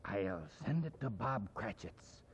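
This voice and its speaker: A man reading aloud and voicing an elderly male miser, giddy with joy, with a husky voice and breathless exclamations and chuckles. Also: extremely high pitch, lively intonation, measured pace, fairly bright tone, big-room sound, voice pushed up, exaggerated voice. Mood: hushed delight.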